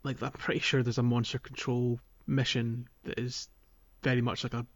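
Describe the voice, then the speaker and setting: A man's voice: medium pitch, little pitch movement, moderate pace, muffled recording. A man co-hosting a podcast, casually talking through a video game in a loose, hedging, informal way. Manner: hedging, informal explaining with kind of and like. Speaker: podcast co-host.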